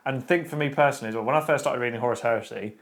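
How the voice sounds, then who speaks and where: A man, co-hosting a casual podcast chat about books, opinionated and quick with restarts. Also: medium pitch. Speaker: podcast co-host.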